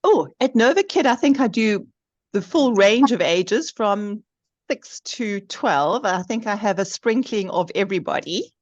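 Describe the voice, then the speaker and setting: A dull, lo-fi recording of a woman talking casually as an online teacher. Her pitch is average. The sound is dry, with no room echo. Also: expressive, a little slow. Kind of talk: casual group discussion.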